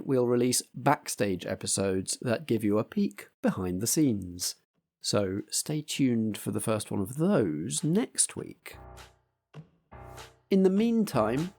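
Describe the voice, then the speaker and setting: A man's voice: mid-range voice, sing-song, unhurried, dark tone, faint music behind. A man hosting a roleplay podcast, introducing things in a presenter's style that loosens into chat.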